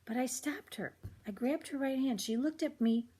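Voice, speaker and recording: Older woman reading aloud, slightly husky, higher-pitched. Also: normal speed. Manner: expressive, acts out the dialogue. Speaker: read-aloud storyteller. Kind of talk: reading a children's chapter book aloud.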